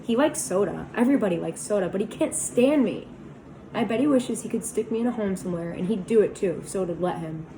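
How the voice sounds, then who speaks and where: A woman voicing a touchy, bitter teenage boy, speaking louder and higher than her narration. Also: steady tone, normal speed, a little hiss or hum, close to an everyday voice, rough recording. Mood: bitter outburst. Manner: passionate, raised voice.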